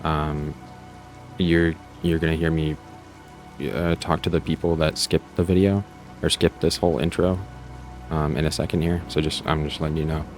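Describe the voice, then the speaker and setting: A man with a low voice talks casually as a host introducing his own story. He rambles in a self-deprecating, conversational way. Faint music sits behind him. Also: little pitch movement, normal speed. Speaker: channel host and story writer.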